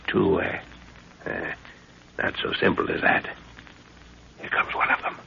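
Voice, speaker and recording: A man's voice: a little slow, sharp tone. A middle-aged man playing a resolute father and jungle adventurer, clipped, urgent and commanding, in 1930s radio drama style. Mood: tense, watchful. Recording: dull, hissy 1930s radio recording.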